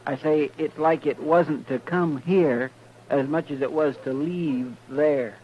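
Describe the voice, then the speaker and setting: An actor playing an earnest, deferential Texas deputy with a homespun Southern drawl in a 1950s radio western. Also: male voice, on the high side, slow. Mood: earnest, folksy. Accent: Southern American, Texas drawl. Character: folksy, simple, deferential male deputy from Texas. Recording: muffled, noisy old radio recording.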